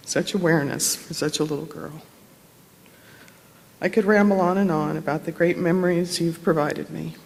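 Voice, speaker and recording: A man's voice: on the high side, slight background noise. A man reading a written tribute aloud at a memorial service in a reverberant hall. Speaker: tribute reader.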